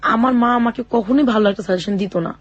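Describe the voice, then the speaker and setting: A young woman telling her own life story in an interview, speaking haltingly with many fillers and restarts. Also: a little high, lo-fi sound. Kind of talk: personal testimony in a radio interview.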